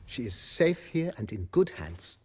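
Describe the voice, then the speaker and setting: A man's voice: medium pitch, very animated, unhurried, noisy background. An actor playing a deferential male majordomo in a fantasy video game, formal, mannered and eager to serve. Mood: polite, reassuring. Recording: music behind the voice.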